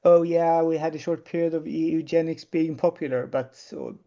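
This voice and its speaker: A man with a Swedish accent talking in a casual panel discussion, hesitant and full of pauses and fillers. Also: fairly high pitch, fairly even intonation, mellow, muffled recording. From Sweden.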